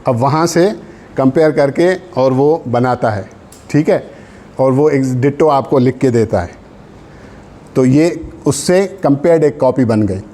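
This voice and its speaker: A man's voice: medium pitch, measured pace, dark tone. A man with a Pakistani accent giving a law lecture, reading out provisions and explaining them didactically, with short checks for understanding. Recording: faint background noise.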